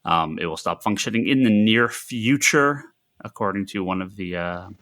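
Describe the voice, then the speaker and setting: A man co-hosting a casual podcast on industry news, talking in a halting, thinking-aloud way with emphatic repetition. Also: on the low side, animated, a bit bright. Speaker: podcast co-host who runs a comics store.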